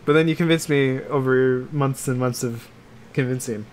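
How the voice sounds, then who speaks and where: A man co-hosting a casual podcast chat about games and films, talking in a halting, meandering way that trails off. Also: medium pitch, steady tone, a little hiss or hum. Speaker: podcast co-host.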